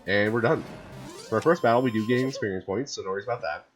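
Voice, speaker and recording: A man with a hoarse voice from a cold, casually commenting on a video game and rambling with lots of fillers. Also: on the low side, quick pace. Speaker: gameplay streamer. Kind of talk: casual let's-play commentary. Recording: gameplay with loud game music.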